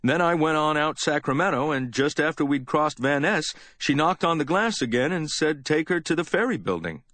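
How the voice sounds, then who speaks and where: A man's voice: on the high side, animated, moderate pace, a bit bright, dry recording, pitched above the performer's own voice. A man reading an audiobook, voicing a plain-spoken working cab driver in a folksy, raised voice. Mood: matter-of-fact.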